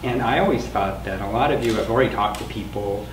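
An older man speaks in a low voice as he introduces an oral history panel. He is conversational and explanatory. The mic is distant and there is clear noise behind him in the room. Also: fast talker, reverberant. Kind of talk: informal spoken introduction to a panel. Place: meeting room with a small audience.

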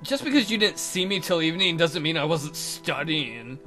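Dude putting on an insecure schoolboy for a dating game, defensive, dramatic, very animated. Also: male voice, a little high, normal speed, soft background music. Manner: melodramatic, defensive. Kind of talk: visual novel dialogue read aloud.

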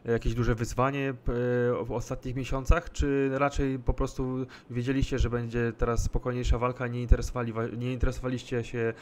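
A man's voice: medium pitch, flat delivery, brisk, few pauses, slight background noise. A male sports journalist asking long, winding questions over a video call, hesitating as he goes. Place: indoor room at home.

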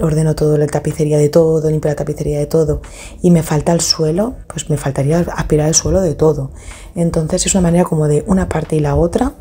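A woman's voice: sits low, warm tone, breathy edge. A woman in her thirties chatting through a home cleaning routine in a casual voice-over, with frequent restarts and fillers.

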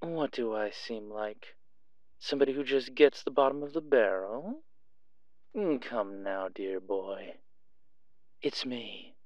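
A sly male fixer for an audio roleplay, acted by a man, drawling very slowly and theatrically. He is coy and teasing, always prying, and the dry recording sounds slightly dull. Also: mid-range voice, lively intonation, no room sound. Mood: teasing, coaxing.

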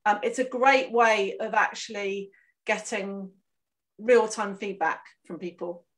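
A woman's voice: mid-range voice, fairly even intonation, a little slow, fairly bright tone. A woman author chatting on a video call from home, talking casually with many fillers. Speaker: author and networking guest.

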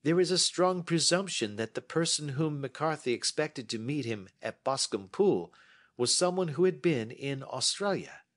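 A man's voice: fairly high pitch, lively intonation, normal speed, voice pushed up. A man reading as a brilliant, self-assured Victorian detective who explains his deductions precisely. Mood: explaining.

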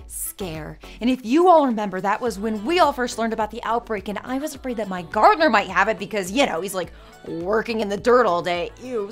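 Woman doing snarky video commentary, theatrical fake-sincere, voice swings all over, bit of music under it. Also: a little high. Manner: arch, theatrical mock-sincere delivery. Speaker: video essay host. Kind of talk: satirical commentary to camera. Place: indoor studio set with plain backdrop.

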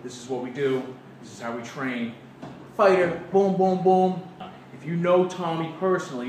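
Hyped man in a noisy, echoey recording. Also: a little high. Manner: earnest, hyped, self-important tough talk. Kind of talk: rambling mock-interview to camera. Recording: noisy, echoey, distant camera microphone.

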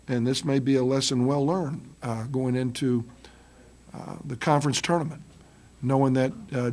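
Husky-voiced coach at a press table mic. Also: male voice, medium pitch, darkish tone. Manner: halting, many ums and restarts, blunt. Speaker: head basketball coach. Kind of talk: post-game press conference. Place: press room with curtain backdrop. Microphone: table mic on stand.

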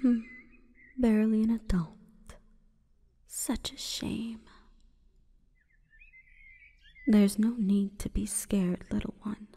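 A woman voicing an imperious, calm forest goddess in a breathy, whispering ASMR roleplay, with stately, measured delivery. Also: mid-range voice. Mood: softly menacing, coaxing. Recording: long reverberant echo.

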